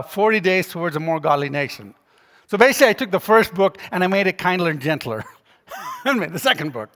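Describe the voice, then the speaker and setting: A man's voice: high voice, fairly bright tone. A middle-aged man giving a conversational, persuasive public talk as a preacher, using anecdotes, rhetorical questions and joking asides. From born in Ghana, grew up across Africa, Jamaica, India and Yemen. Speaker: guest speaker, preacher and author. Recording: echoey hall with long reverb.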